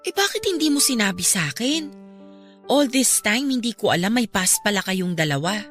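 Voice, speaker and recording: A woman's voice: mid-range voice, sing-song, normal speed, a bit bright, soft background music. An actress playing a concerned, sympathetic young woman questioning a friend in a radio drama. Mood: surprised, probing.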